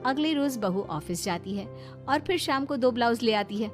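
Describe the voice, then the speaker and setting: Woman storyteller, highish voice, scripted bedtime tale narration w/ music behind. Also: animated, normal speed.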